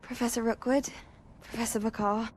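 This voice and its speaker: A woman's voice: fairly high pitch, little pitch movement, normal speed, a little hiss or hum, natural voice. A woman voicing an earnest, curious teenage witch student in a video game cutscene. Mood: polite, eager.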